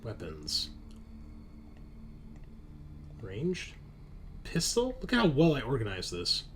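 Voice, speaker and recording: A man narrating a tabletop roleplaying game as game master in a halting, informal, explanatory way. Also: medium pitch, huge pitch swings. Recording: clear background noise, room ambience.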